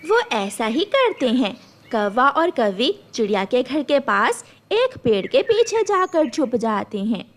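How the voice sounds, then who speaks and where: Female storyteller for children's narration: high-pitched, unhurried, animated intonation, scripted read.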